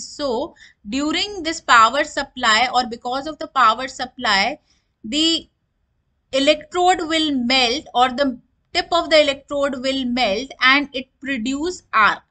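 A woman's voice: high voice, bright, forceful tone. A woman giving a technical lecture over slides, explaining each term and then restating it in simpler words.

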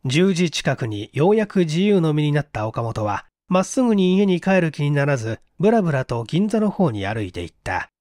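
A man's voice: fairly high pitch, big pitch swings, normal speed, few pauses, a little mellow, dry recording, clean studio sound. A man reading a literary mystery story aloud as an audiobook narrator. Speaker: audiobook reader.

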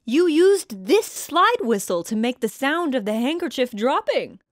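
An actress playing a polite, earnest classic-cinema interviewer in a comedy sketch, with a measured presenter's delivery. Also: female voice, high voice, big pitch swings, no room sound, studio quality. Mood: puzzled, polite.

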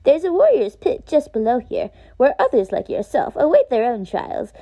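A woman's voice: high voice, wildly swooping pitch, moderate pace, mellow, exaggerated voice. A woman voicing a small, boastful warrior bug from a video game, grandiose and chatty. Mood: proud, eager. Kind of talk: fan dub of video game dialogue.

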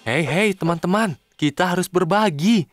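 A man voicing a caring, slightly vain companion in a children's cartoon, speaking in an expressive, friendly and reassuring way. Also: high voice, normal speed, loud music behind the voice, lightly acted. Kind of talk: animated children's cartoon dub.

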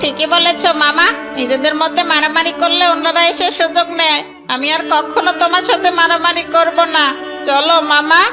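Sly male cartoon fox, voiced by a woman, extremely high pitch, whiny, theatrical, music behind. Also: fairly even intonation, moderate pace. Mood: puzzled. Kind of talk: children's cartoon voice acting.

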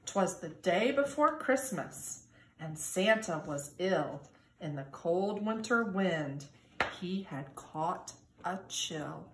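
A woman in her forties, a teacher, reading a children's storybook aloud in an expressive, singsong way. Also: on the low side, slow pace. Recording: echoey room with background noise.

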